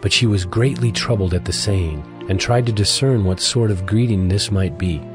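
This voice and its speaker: A man narrates scripture for an audio drama. His low voice is measured and solemn, set over clearly audible music.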